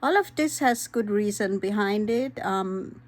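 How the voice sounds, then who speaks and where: A woman, talking candidly and earnestly to her vlog audience, pausing often with "um". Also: medium pitch, lively intonation. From Vojvodina, former Yugoslavia; raised in Germany. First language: Hungarian. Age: 50s. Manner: earnest, candid, frequent "um" pauses. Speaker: vlogger. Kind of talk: personal vlog talk.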